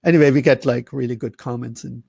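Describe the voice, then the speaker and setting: A man's voice: medium pitch, fairly even intonation, fast, vocal fry, warm tone, breathy edge, dry recording, studio quality. A man from Germany hosting a webinar over a video call, talking casually in rambling, self-interrupting sentences. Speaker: host.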